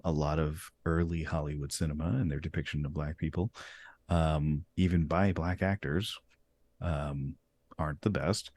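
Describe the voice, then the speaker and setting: A man hosting a casual interview, talking in a relaxed way and laughing easily between points. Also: rumbling low. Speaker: host.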